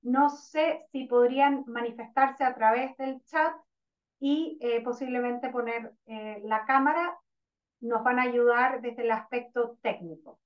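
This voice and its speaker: A woman's voice: fairly high pitch, a little slow. A woman moderating a virtual panel, hesitant and full of restarts. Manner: hesitant, many fillers and restarts. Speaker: webinar moderator. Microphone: video call. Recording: distant, dull lo-fi call audio.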